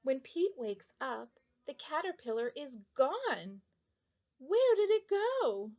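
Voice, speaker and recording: A woman's voice: high-pitched, very animated, slow pace, a little mellow, dull audio. A woman reading a picture book aloud for young children, addressing her young listeners directly. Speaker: children's storybook reader.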